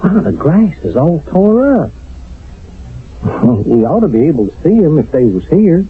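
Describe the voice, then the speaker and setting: A man playing a jumpy rustic soldier in a radio drama, with folksy, ungrammatical, excitable speech. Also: a little high, a little slow, a little hiss or hum. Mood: jumpy, baffled. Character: jumpy rustic young soldier, questioning his sergeant. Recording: dull old radio recording.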